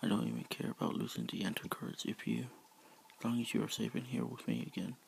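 A man's voice: on the low side, sing-song, fast, vocal fry, a little hiss or hum, heavily acted. A man whispering the part of a worried, devoted male fox creature in a read-aloud story. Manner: whispered, fretful and tender.

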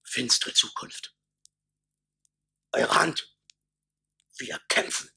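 An actor playing a fat, pompous imperial marshal who sputters indignantly in a raspy, gravelly voice, in a radio play. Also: male voice, very slow, bright, forceful tone, exaggerated voice. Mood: grim resolve. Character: fat blustering imperial marshal in clanking tin armour. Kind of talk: theatrical German radio play acting.

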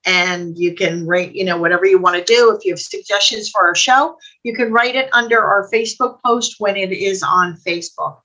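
Bright-voiced older American woman. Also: medium pitch, normal speed, few pauses, low-quality recording. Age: older, 60s or above. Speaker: co-host. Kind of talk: casual chat. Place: indoor room at home, video call. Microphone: computer mic on video call.

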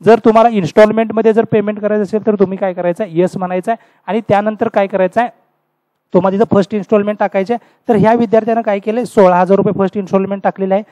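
A man's voice: high voice, steady tone, very fast, dark tone, no echo, poor audio. A man talks students through an online admission process over a screen recording, repeating steps and asking himself questions as he goes. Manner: repetitive, rhetorical questions to students. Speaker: tutorial host explaining university admission steps.

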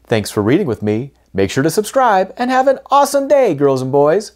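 A man in his forties hosting a children's storytime, reading aloud in an expressive, deliberate, sing-song way. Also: on the high side, no room sound, clean studio sound. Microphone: lapel microphone.

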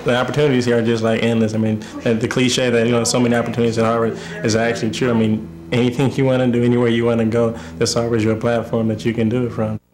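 A man's voice: medium pitch, flat delivery, very fast, few pauses, a little mellow. A young man, a college student, giving casual interview answers. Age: young adult. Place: indoor study room.

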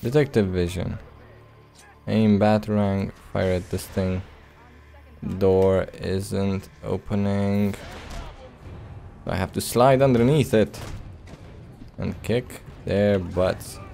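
A man's voice: lowish voice, fairly even intonation, slow pace, creaky, pauses often, warm tone. A man giving casual, laid-back gameplay commentary in short phrases as he plays. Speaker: let's play host.

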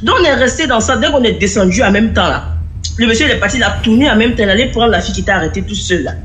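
A woman's voice: a little high, animated, very fast, fairly bright tone, slight background noise. A young woman telling a personal story in a rambling, repetitive way. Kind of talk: casual spoken testimony.

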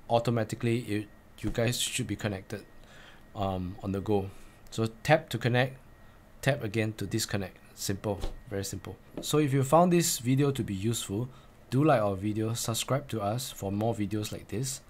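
A man's voice: lowish voice, moderate pace, slight background noise. Man presenting a step-by-step tutorial. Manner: plain, instructional, repeats key points for emphasis. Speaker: presenter of motorcycle gear tips.

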